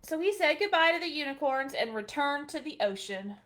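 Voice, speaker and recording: A woman, a children's librarian, reading a picture book aloud at bedtime in a gentle, expressive storytelling manner. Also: sits high, fairly quick.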